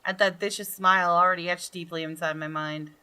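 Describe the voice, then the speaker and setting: A woman's voice: lowish voice, fairly even intonation, fairly quick, sharp tone, smooth. A woman hosting a gaming video, reading visual novel narration expressively with casual commentary.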